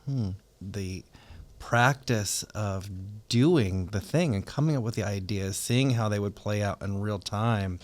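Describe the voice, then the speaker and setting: A podcast host asking quick questions in a casual interview, laughing easily and giving short backchannels. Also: low-pitched, darkish tone.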